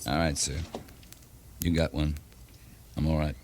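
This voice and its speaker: A man playing a tough, blunt cavalry captain in 1950s western radio acting, with gruff, terse military delivery. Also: extremely low pitch, little pitch movement, a little slow. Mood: subdued resolve. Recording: noisy 1950s radio drama recording.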